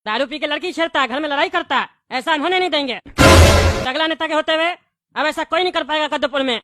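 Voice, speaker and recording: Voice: sits high, a little fast, close to an everyday voice. A performer voicing a bossy, self-important male village politician in a Hindi cartoon, preachy and commanding. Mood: stern, bossy. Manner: commanding, preachy, repeatedly demands agreement. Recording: loud background noise with clicks.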